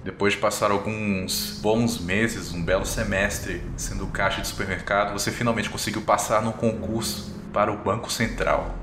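A man with a Brazilian accent improvising the narration of a tabletop game as game master, descriptive and a little halting. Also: fairly low pitch, fairly even intonation. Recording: echoey room, music underneath. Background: music.

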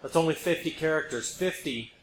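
A man's voice: on the high side, fairly quick. A man hosting a podcast, explaining his opinions casually and emphatically, repeating points for effect. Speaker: podcast host. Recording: roomy echo, background noise.

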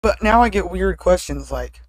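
Deep-voiced woman chatting and laughing. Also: animated, moderate pace, a little mellow, dry recording. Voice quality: deeper voice. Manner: laughs often, self-mocking and blunt. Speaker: podcast co-host. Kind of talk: casual chat.

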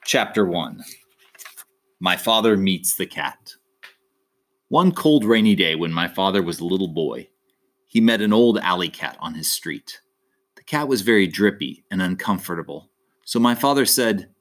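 Lively, lowish-voiced man narrating a storybook. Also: moderate pace. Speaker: audiobook reader. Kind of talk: expressive children's story narration.

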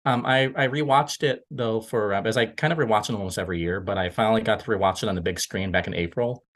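Lower-voiced, hesitant man. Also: quick pace, fairly bright tone. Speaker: podcast panelist. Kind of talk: casual film discussion.

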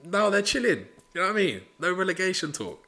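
Swearing young podcaster, bright voice. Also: male voice, high voice, sing-song, fast talker. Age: 20s. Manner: blunt, swears often, motivational, lots of "you know". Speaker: podcast host. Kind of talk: casual solo podcast monologue.

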